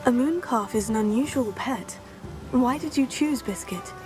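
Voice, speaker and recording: An actress playing a composed teenage witch student in a video game, speaking in a polite, measured way. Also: a little high, music in the background, close to an everyday voice. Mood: sympathetic. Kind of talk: video game character acting.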